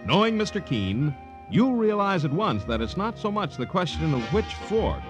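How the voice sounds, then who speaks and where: Vintage radio announcer, American male, raised pitch, big melodic swings, formal and theatrical, with prominent music behind. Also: sing-song, moderate pace. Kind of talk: 1940s-50s network radio announcing, scripted. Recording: old radio broadcast over loud music.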